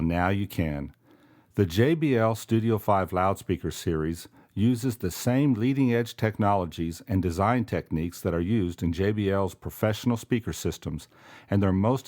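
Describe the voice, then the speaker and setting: Casting: male narrator, lowish voice, smooth scripted product explainer read. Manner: explanatory, even and polished.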